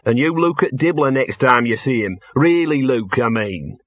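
Male narrator as talking dog, mournful, know-it-all, muttering, husky, colloquial, audiobook character, dull-sounding recording. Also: medium pitch, animated, normal speed, dry recording, natural voice.

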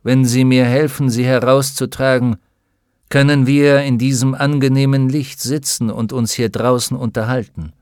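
A man reading an audiobook, voicing a gaunt older male shaman and scientist who speaks in a calm, measured and hospitable way. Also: mid-range voice, clean tone, no room sound, studio quality, lightly acted. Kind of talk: audiobook character voice.

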